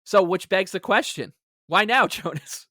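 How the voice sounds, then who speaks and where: A man's voice: high voice, expressive, a little slow, bright, forceful tone, dry recording, clean studio sound. A man co-hosting a podcast in casual sports talk, speaking in an exasperated, emphatic, sarcastic way. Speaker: podcast co-host.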